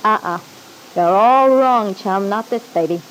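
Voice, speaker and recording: A woman's voice: medium pitch, a little slow, close to an everyday voice, rough recording. An actress playing a guarded, wisecracking young woman in an old-time radio soap opera, with slangy, breezy talk. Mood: defiant, flip. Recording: hissy vintage radio recording.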